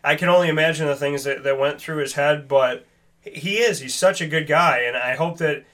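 A man's voice: a little high, moderate pace, a bit bright. A man hosting a casual sports talk show, speaking earnestly and emphatically in a rambling, personal way.

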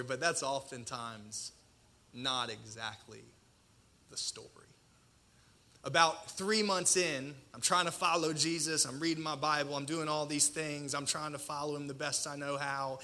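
A man in his thirties preaching a personal testimony in a colloquial, self-deprecating way, with dramatic pauses and a slight stutter. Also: a little high, pushed and bright. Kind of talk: personal testimony sermon to an audience.

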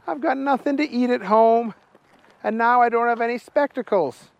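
A man voicing a clumsy, dim-witted young male fry cook who sounds whiny and bewildered. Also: extremely high pitch, moderate pace, no room sound, lo-fi sound, pitched above the performer's own voice. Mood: pleading.

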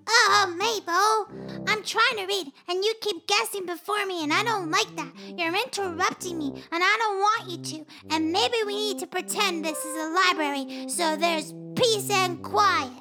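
Young boy pig, very high voice, slow careful reading, bright tone, soft music behind. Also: full character voice. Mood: frustrated. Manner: slow, sounding out letters one by one. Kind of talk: children's educational puppet show.

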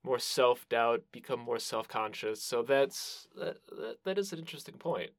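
A man's voice: mid-range voice, animated, normal speed, no room sound. A man hosting a podcast interview, speaking casually and reflectively.

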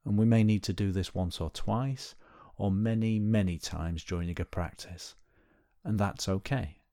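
A man gently leading a guided body scan meditation, giving unhurried instructions with pauses. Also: fairly low pitch, no echo, clean studio sound.

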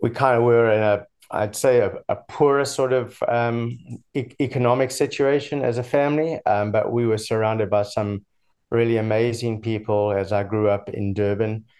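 A man's voice: fairly low pitch, steady tone, normal speed, darkish tone, clean tone. A man in his sixties with a slightly mixed South African accent, telling his life story as an interview guest in a hesitant, reflective way. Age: 60s.

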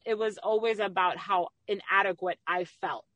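A woman's voice: medium pitch, fairly even intonation, moderate pace, fairly bright tone, no echo, muffled recording. A middle-aged woman from the Dominican Republic talking candidly and at length as a guest in a conversational interview. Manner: talkative, candid. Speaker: interview guest, magazine owner and entrepreneur.

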